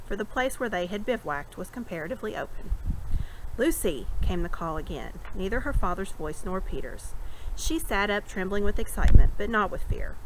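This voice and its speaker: A woman reading a children's fantasy novel aloud as an expressive storyteller. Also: medium pitch. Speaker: storybook reader. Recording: clear background noise.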